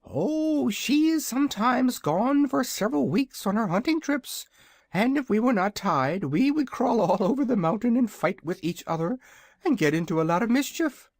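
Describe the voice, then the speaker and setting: A reader voicing a proud, hungry young dragon in a storybook, with a high, drawling, haughty manner. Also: sing-song, moderate pace, no echo, studio quality. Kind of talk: audiobook character voice.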